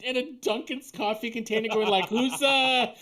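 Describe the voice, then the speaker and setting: A woman's voice: fairly high pitch, fairly even intonation, moderate pace, fairly bright tone. A sardonic woman hosting a comedic live table read, narrating theatrically and bantering. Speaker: podcast host and script narrator.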